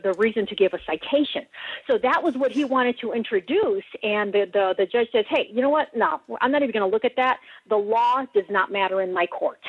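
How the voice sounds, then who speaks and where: A woman's voice: medium pitch, slightly rough, no echo. An American woman calling in to a radio show by phone, earnestly telling stories and acting out quoted dialogue in conversation. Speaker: radio call-in guest. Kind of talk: conversational storytelling. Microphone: telephone. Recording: tinny, band-limited phone line.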